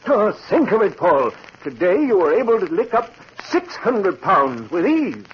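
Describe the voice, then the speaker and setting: An elderly, fussy man of learning in an old radio melodrama. His high voice swings widely with excitable, theatrical worry. The vintage recording is muffled and faintly noisy. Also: unhurried, breathy edge, exaggerated voice. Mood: amazed. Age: older. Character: excitable, fretful elderly father and professor. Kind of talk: 1940s radio acting.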